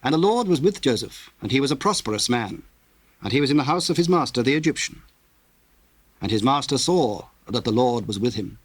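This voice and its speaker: A man narrating scripture in a solemn, measured, formal way, reading from a script. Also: mid-range voice, husky, no echo.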